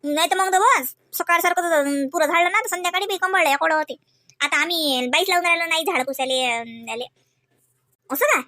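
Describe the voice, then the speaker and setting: A woman's voice: very high-pitched, brisk, pushed and bright, no room sound. A woman voicing homely village women in a comedy cartoon, gossiping in folksy Varhadi Marathi from Vidarbha. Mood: chatty, grumbling.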